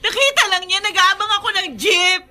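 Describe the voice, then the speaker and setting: A woman's voice: very high voice, a little fast, sharp tone, heavily acted. A Filipino actress playing a meek, easily distressed young married woman in an emotive radio drama. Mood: pleading, tearful. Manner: emotive, melodramatic. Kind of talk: Tagalog radio drama acting.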